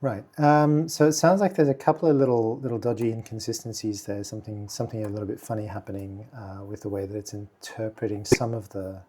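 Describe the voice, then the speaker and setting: A man hosting a casual software tutorial, speaking quietly and thinking aloud with many fillers as he works. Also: on the low side, steady tone, brisk, few pauses, a little hiss or hum. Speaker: video tutorial host. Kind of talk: casual screen-recording tutorial.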